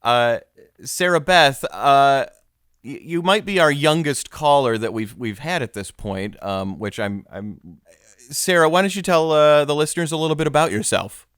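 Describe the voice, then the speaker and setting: A man hosting a mock-documentary comedy interview podcast in an earnest, measured and halting way. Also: a little high, expressive, fairly bright tone, clear, resonant tone, no room sound, clean studio sound. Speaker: podcast host.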